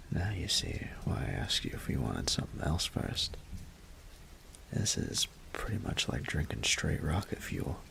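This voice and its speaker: A very gravelly, low-voiced Southern guy acted out by a man plays the flirty roommate in an intimate audio roleplay. He drawls slowly and half-whispers, teasing as he goes. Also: a bit bright, a little hiss or hum, exaggerated voice. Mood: gently amused. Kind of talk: intimate roleplay audio acting, close and conversational.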